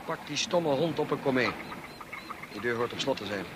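An actor playing a moody, short-tempered middle-aged husband in a Dutch radio drama, with a curt and commanding way of talking. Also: male voice, medium pitch, lively intonation, moderate pace, natural voice. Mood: angry, curt. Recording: noisy old radio play recording.

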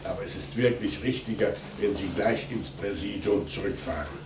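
An actor playing a calm, methodical police commissioner in a vintage radio crime play, terse and probing. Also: male voice, on the low side, normal speed, over music, lightly acted. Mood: quietly reflective. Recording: echoey, noisy old radio recording.

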